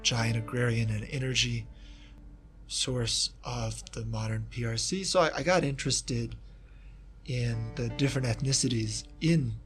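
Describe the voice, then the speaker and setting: A man from Kentucky, a historian of East Asia, talking about his research in a relaxed interview, with many asides and restarts. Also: medium pitch, unhurried, husky, soft background music. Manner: thoughtful, rambling with asides and restarts. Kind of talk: casual reflective interview talk.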